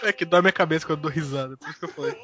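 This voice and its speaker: A man's voice: fairly high pitch, racing. A Brazilian man with a cold, hosting a casual group chat and moving the talk along. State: has a cold. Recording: dull lo-fi audio with music behind.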